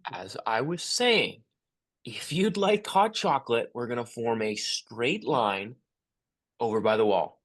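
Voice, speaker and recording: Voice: mid-range voice, expressive, a little slow. An actor playing a cheerful, easygoing male schoolteacher at a table read, coaxing and upbeat as if to a class. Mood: firm, playful.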